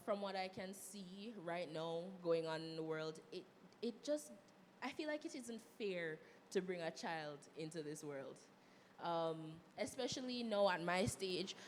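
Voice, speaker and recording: A hoarse 21-year-old woman student speaking frankly and bluntly on a live panel. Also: lowish voice. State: hoarse, a bit sick. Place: event hall, live audience. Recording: hall reverb with faint noise.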